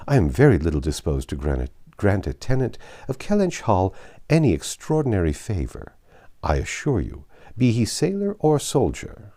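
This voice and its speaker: A man's voice: on the low side, huge pitch swings, normal speed, warm tone, full character voice. A man reading aloud as a vain, haughty older baronet in a classic novel, with a pompous, emphatic delivery. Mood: cool, haughty. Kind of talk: theatrical audiobook character reading.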